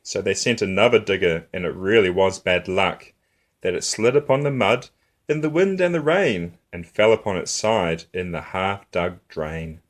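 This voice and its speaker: A man reading a rhyming picture book aloud for young children in an expressive, rhythmic way, as a storytime host. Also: sits low, moderate pace.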